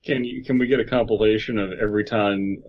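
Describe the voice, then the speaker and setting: A man co-hosts a comedy podcast in an easy conversational style, sometimes reading aloud. His voice sits at an ordinary pitch, and the microphone sounds a little dull and muffled. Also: fairly even intonation, fast talker. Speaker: podcast co-host. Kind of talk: casual banter and reading aloud.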